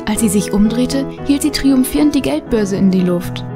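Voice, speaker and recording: A woman's voice: on the high side, expressive, normal speed, over music. A woman narrating a children's mystery audio drama in a scripted, suspenseful storytelling manner. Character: female storyteller of a children's mystery radio play.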